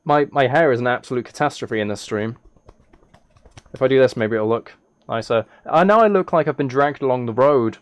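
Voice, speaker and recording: A man chatting casually on a gaming livestream, rambling haltingly with playful, mock-serious dry humour. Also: mid-range voice, clear, resonant tone, no room sound. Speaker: live streamer.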